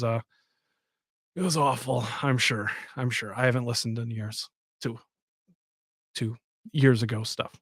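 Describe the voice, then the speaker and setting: A man's voice: fairly high pitch, no echo, studio quality. A man hosting a live stream, chatting casually and rambling as he talks to his viewers. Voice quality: says he has a soft voice. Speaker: podcast host.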